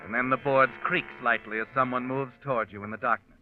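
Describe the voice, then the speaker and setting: An actor playing an ominous, all-knowing American narrator in 1940s suspense radio, brooding and deliberate, taunting the guilty man. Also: mid-range voice, fairly even intonation, close to an everyday voice. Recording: muffled old radio recording. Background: dramatic music.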